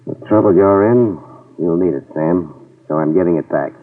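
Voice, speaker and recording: A man's voice: low-pitched, moderate pace. A man playing a hardboiled private investigator who wisecracks and narrates in 1950s radio drama style, on an old recording. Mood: wry. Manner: dry wisecracking delivery. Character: hardboiled male private eye, wry and tough. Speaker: lead actor and narrator. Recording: tinny, distant old radio transcription.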